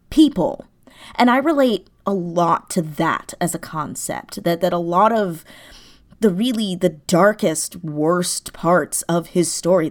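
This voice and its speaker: A woman speaks as an interview guest in a slightly low register. Her delivery is conversational and reflective, and she often circles back to correct herself while searching for the right words. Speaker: audio drama creator being interviewed.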